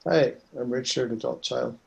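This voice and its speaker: A man with a gravelly, creaky voice shares in a support group. He reads his own notes aloud haltingly, with small laughs between phrases. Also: medium pitch.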